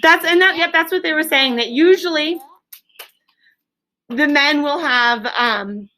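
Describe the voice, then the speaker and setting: A woman teacher leading an interactive lesson for young children, encouraging them with praise and explaining in simple, deliberate terms. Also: sits high, sharp tone. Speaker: elementary school teacher. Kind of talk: interactive classroom lesson with questions. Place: classroom.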